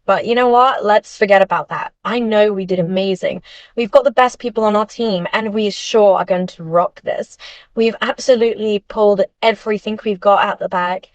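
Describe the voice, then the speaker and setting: A woman playing a confident, supportive teenage girl model in an animated school drama. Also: medium pitch, normal speed, dry recording, muffled recording, natural voice. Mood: determined. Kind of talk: animated game-series dialogue.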